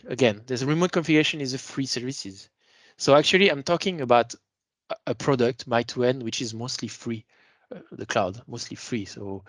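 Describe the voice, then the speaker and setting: A man's voice: medium pitch, dry recording. Man presenting a webinar, dry close sound. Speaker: account manager presenting a product webinar. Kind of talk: explanatory sales webinar presentation.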